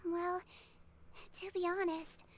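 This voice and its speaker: Very high-pitched childlike little nurse creature. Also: female voice, flat delivery, slow pace, slight background noise. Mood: calm, matter-of-fact. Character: small gentle childlike creature nurse, calm and cheerful. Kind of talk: scripted anime game acting, storytelling.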